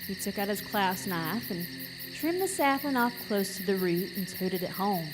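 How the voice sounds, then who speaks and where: A woman's voice: mid-range voice, animated, normal speed, music in the background, rough recording. A woman storyteller narrating a folksy horror tale live on stage, with dramatic phrasing and rustic dialect. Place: live stage in a recreation center.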